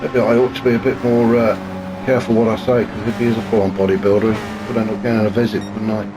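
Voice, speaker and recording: Chatty race commentator with a cold. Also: male voice, on the low side, flat delivery, quick pace. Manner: chatty and wry, many fillers. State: gravelly and snuffly with a cold. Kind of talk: live sim racing commentary. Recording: noisy with car engine sounds behind.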